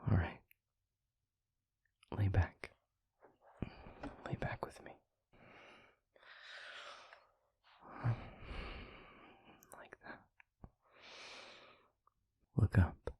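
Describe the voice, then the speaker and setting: A man playing a tender, devoted demon lover in an intimate audio roleplay, speaking gently and poetically in a breathy, hushed whisper close to the microphone. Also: sits low, steady tone, dry recording, heavily acted. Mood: soft, coaxing. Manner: slow, gentle, formal poetic phrasing. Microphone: very close microphone, dry.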